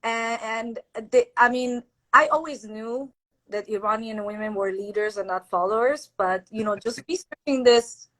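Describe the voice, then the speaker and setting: Woman guest, slow n hesitant, lots of ums, bright higher voice. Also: low-quality recording. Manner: slow, hesitant, many fillers. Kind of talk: casual history talk.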